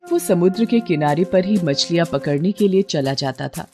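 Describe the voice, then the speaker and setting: A woman's voice: lowish voice, animated, normal speed, over music. A woman narrating a cartoon moral story in a dramatic, emphatic way.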